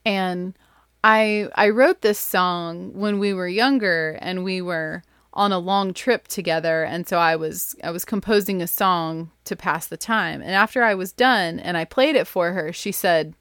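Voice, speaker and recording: Casting: woman, lowish voice, rambling casual storyteller, dry close sound. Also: a little slow, a bit bright, clean tone, no room sound. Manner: chatty, rambling, with fillers and laughs. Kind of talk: casual recap of an author event.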